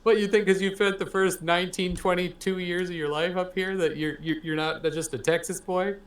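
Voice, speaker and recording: A man's voice: sits high, level tone, fairly quick, roomy sound. A man who laughs a lot, teasing his players as game master before a tabletop session.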